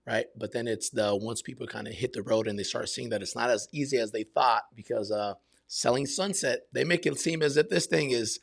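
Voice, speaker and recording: A man's voice: medium pitch, brisk, vocal fry, no echo, studio quality. A man in his fifties from Brazil and Miami, answering interview questions in a casual, reflective way. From Brazil and Miami, United States. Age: 50s. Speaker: podcast guest, military veteran and realtor.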